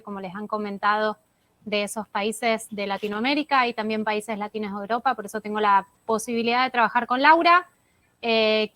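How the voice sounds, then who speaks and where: A woman with an Argentine Spanish accent gives a courteous, measured presentation over a video call. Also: a little high, a bit bright. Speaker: product manager presenter. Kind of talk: online presentation.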